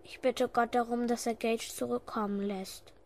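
Woman acting a sad stubborn little girl, highish creaky voice, earnest, radio play. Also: flat delivery, moderate pace, a little hiss or hum, close to an everyday voice. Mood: stubborn, pleading. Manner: earnest and insistent. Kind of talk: audio drama acting.

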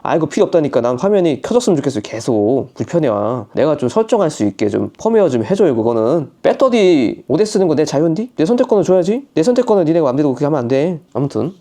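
A man's voice: on the high side, mellow. A man from Chungcheong with a Chungcheong dialect, chatting casually and talking to himself as he unboxes a camera for his vlog. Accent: Chungcheong Korean dialect. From Chungcheong, Korea. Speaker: vlogger.

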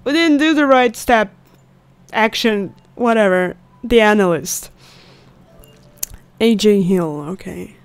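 A woman's voice: mid-range voice, takes it slowly, pauses often, dry recording. A young woman giving casual, exclamatory gameplay commentary with swearing into a close studio mic. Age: young adult. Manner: reactive, exclamatory, profanity-laced. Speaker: gaming video host. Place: indoors at a home recording setup. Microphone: close studio condenser mic. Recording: clear game ambience noise behind voice. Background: horror game ambience.

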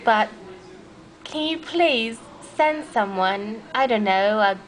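A woman's voice: a little high, expressive, takes it slowly. A woman voicing a plucky, lonely little girl, blunt and curious, rambling hesitantly in an amateur comic puppet show. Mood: pleading, rambling. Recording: noisy homemade camera recording.

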